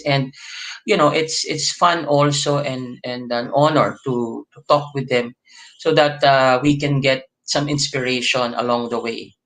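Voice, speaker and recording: A man hosting an online livestream interview, talking in a halting way with many fillers and restarts. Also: mid-range voice, a bit bright, rough recording. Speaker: host.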